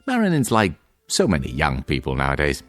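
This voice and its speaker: An actor playing a glib, patronizing doctor in a radio drama, with a pompous and condescending manner. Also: male voice, a bass voice, wildly swooping pitch, fairly quick, soft background music, heavily acted. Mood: patronizing, lecturing. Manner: pompous, condescending, hesitating bureaucrat's patter. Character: smooth, patronizing male doctor, glib and theatrical.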